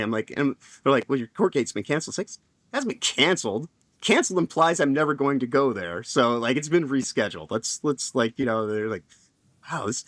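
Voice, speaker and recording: A man's voice: fairly low pitch, a bit bright, no echo. A man on a casual panel stream telling a long personal story, rambling with lots of fillers and restarts.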